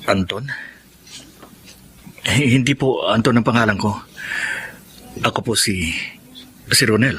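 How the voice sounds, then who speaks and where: A man's voice: lowish voice, fairly bright tone, a little hiss or hum. An actor playing an earnest, wounded soldier longing for his family in a Filipino radio drama. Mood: earnest, pleading. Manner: earnest, halting, pleading.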